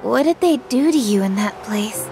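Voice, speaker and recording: A woman's voice: a little high, animated, moderate pace, over music. An actress playing a guarded but concerned young female agent in a video game dub.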